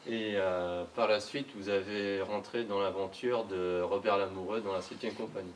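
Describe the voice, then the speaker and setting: A man's voice: fairly low pitch, fairly even intonation, moderate pace, distant mic, slight background noise. A man interviewing a guest on stage, asking questions with hesitant fillers.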